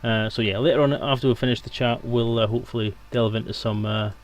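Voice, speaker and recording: Man, stream host, medium pitch, casual rambling chat, unscripted, light background noise. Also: flat delivery, fast talker.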